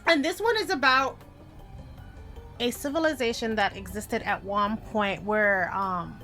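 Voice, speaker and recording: A woman's voice: medium pitch, measured pace, bright, forceful tone, faint music behind. A woman hosting a casual game list video, talking informally and conversationally with offhand asides. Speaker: video game YouTuber, host. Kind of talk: casual top-ten list commentary.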